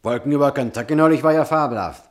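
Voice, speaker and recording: An actor playing a young, struggling Hollywood screenwriter in a German radio drama, wry, charming and flattering. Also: male voice, medium pitch, fast, natural voice. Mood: ingratiating, wry.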